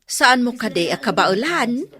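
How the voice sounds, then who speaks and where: A woman's voice: a little high, big pitch swings, a little slow, a crowd in the background. A woman acting an inquisitive, gossipy village neighbour in an Ilocano comedy drama, with an Ilocano accent. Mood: nosy, chatty.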